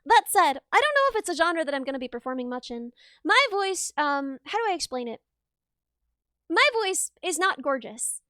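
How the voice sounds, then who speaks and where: A young woman streaming live, chatting casually with viewers in a rambling, laughing way, her voice a little raw and rough. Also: sits high, big pitch swings, normal speed, sharp tone, no room sound, clean studio sound. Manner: rambling, chatty, laughs often, reads chat aloud.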